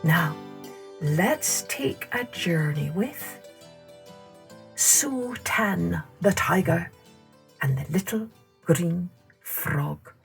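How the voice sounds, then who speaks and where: A woman narrating scripted children's folktales as a storyteller, in an expressive, measured way. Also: lowish voice, gravelly, faint music behind. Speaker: storyteller, podcast host.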